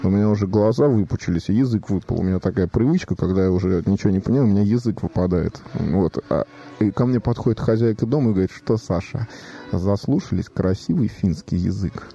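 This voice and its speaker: A man's voice: lowish voice, fast, warm tone, breathy edge, muffled recording, faint music behind. A man in a comic, rambling discussion, telling anecdotes in an insistent, mock-serious way with hesitations and repetitions.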